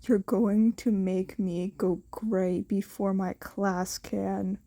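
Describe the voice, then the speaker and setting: A woman voicing a weary, protective adult male hero in an audiobook, muttering reassurance in a lowered, muted voice. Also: level tone, slow pace, dark tone. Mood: weary, comforting.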